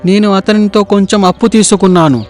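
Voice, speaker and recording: A poor, wronged farmer from a cartoon folk tale, played by a man. He pleads humbly in a high male voice, with light music behind. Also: steady tone, fast talker, darkish tone, close to an everyday voice. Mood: pleading. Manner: pleading, aggrieved.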